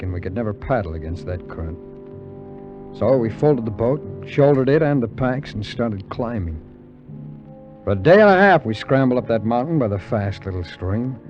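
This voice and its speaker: Actor as hard-boiled adventurer, old radio drama. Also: male voice, mid-range voice, highs cut off, lightly acted. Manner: wry, slangy, tough-guy delivery. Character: Johnny, hard-boiled cynical adventurer telling his gold-hunting tale. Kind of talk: 1950s radio drama acting, first-person narration. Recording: vintage 1950s radio recording, music underneath.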